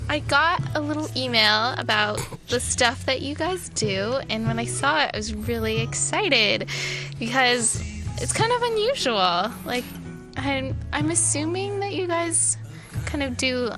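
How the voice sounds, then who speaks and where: A woman with a fairly high voice hosts a casual radio interview. She takes her time and sounds curious and enthusiastic, chatting informally. Music plays clearly behind her. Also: expressive, measured pace. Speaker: college radio host interviewing guests. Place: college radio studio.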